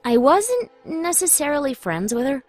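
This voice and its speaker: A woman's voice: high voice, very animated, moderate pace, no room sound, faint music behind. A young woman reading dramatic scripted first-person narration in an emotive, confessional manner.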